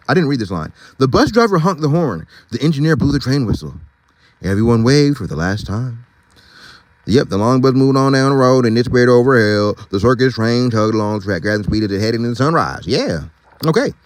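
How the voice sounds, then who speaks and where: Animated man reading a storybook aloud. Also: medium pitch, brisk, no room sound. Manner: slangy, informal, easily sidetracked. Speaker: storybook reader. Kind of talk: read-aloud storytelling with casual asides.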